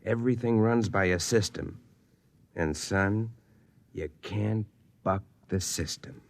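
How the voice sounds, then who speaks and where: A man's voice: on the low side, slow, gravelly. An actor playing a cynical, fatherly freight office official in an American 1950s radio drama, blunt and folksy. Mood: fatherly, warning. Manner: blunt, folksy, slangy lecturing.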